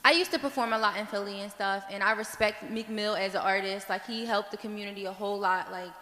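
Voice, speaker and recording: Bright-voiced woman in an echoey hall. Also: medium pitch, fairly even intonation, quick pace. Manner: heartfelt, searching for words, many fillers. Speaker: audience member speaking at a panel. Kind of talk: impassioned rambling comment. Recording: echoey hall with long reverb.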